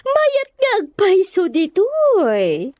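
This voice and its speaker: A woman's voice: very high-pitched, huge pitch swings, extremely slow, a little mellow, no room sound, studio quality, full character voice. An actress playing a contented, genteel mother in an audio drama, leisurely and admiring in manner. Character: contented, genteel mother talking fondly to her daughter.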